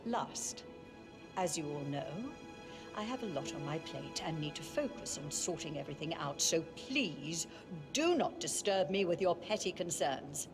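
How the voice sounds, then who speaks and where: An elderly woman who rules an elite family, her voice on the low side. She is cold, curt and formal, giving orders as in a cinematic game, with clear music behind her. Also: animated, unhurried. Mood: stern, composed. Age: older. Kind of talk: cinematic video game acting.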